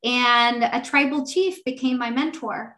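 Woman in her thirties, guest, high voice, talkative and animated. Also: a little slow. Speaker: venture fund partner, guest. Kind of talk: conversational interview answers.

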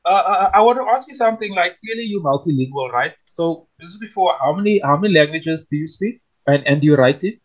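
Quick, higher-voiced male interviewer. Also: expressive, low-quality recording. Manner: quick, stumbling with repeated words. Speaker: podcast host, interviewer. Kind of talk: casual interview questions.

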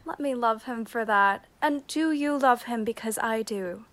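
Woman playing a proud, heartfelt young noblewoman, highish voice. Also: unhurried, a bit bright, slight background noise. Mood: lovesick. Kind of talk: Shakespearean radio play acting.

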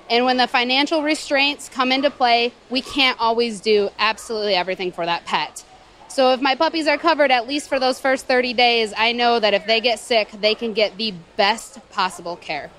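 A woman's voice: a little high, a bit bright. A woman giving an earnest testimonial as a veterinarian and breeder, recorded with some background noise.

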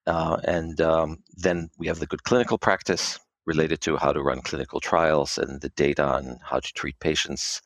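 A middle-aged man from Israel, a biotech quality expert, answering podcast interview questions in a measured, halting way with many fillers. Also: extremely low pitch, level tone, dry recording.

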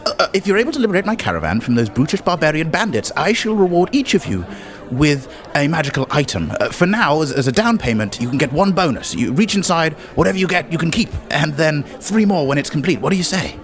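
A man's voice: a little high, sing-song, fast. A man voicing a flustered, cowardly but boastful goblin merchant in a tabletop game, stammering and wheedling like a salesman. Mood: anxious bargaining. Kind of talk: tabletop actual play character acting.

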